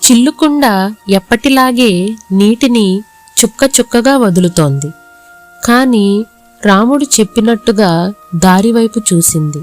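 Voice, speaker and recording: A woman narrates a scripted fable at a slow, measured pace in a medium-pitched voice. Soft music plays under her storytelling.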